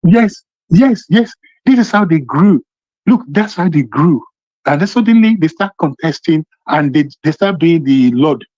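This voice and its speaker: A man's voice: a little high, lively intonation, measured pace, a little mellow, no echo. A man with a Nigerian Yoruba accent giving emphatic political commentary as a phone-in caller, repeating points and answering his own questions. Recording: muffled, band-limited phone call.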